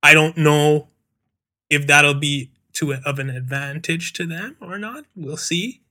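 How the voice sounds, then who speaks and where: A man hosting a soccer discussion, giving measured, hedging analysis. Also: on the high side, bright, forceful tone. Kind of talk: casual chat.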